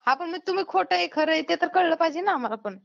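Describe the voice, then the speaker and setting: A woman's voice: very high-pitched, expressive, fast talker, gravelly. A woman with a Maharashtrian accent speaking bluntly and full of questions in a casual phone conversation. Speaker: YouTuber receiving a prank call. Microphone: phone call. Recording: dull, lo-fi phone call recording.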